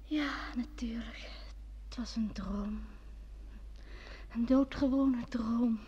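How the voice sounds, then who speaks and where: Wistful, husky, high-voiced woman. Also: fairly even intonation, takes it slowly, warm tone, heavily acted. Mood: wistful, hushed. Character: wistful, tender woman parting from a lover. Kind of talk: old radio drama acting. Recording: dull vintage radio play recording.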